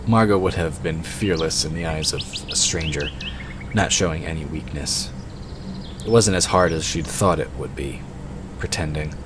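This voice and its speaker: A man with a very deep voice narrates a fantasy story in scripted audio drama style. There is clear background noise, with outdoor nature effects layered behind him. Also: moderate pace. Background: forest ambience sound effects.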